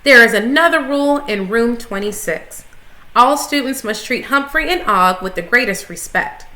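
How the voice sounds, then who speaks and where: A woman reading the part of a firm, patient female schoolteacher, measured and instructive, in a children's story. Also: fairly high pitch, animated, pushed and bright, echoey room.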